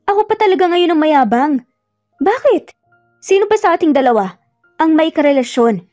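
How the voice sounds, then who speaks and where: A woman's voice: high voice, no room sound, voice pushed up. A woman voicing a bitter, wounded and defiant young woman in an audio love drama, accusing and indignant. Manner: indignant, accusing, sharp rhetorical questions.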